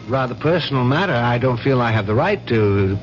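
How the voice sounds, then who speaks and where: A man's voice: mid-range voice, animated, over music, close to an everyday voice. Casting: man as reasonable male academic colleague, reluctant and courteous, medium voice, muffled 1970s radio drama sound. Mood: placating. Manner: polite, measured, reluctant. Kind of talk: 1970s radio mystery acting. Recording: muffled old radio recording, clear noise.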